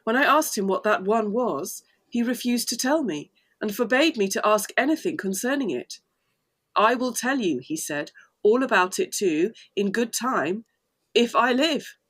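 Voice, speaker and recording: A woman playing an earnest, anxious young gentlewoman in a gothic audio drama. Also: on the high side, expressive, measured pace. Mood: troubled, hushed.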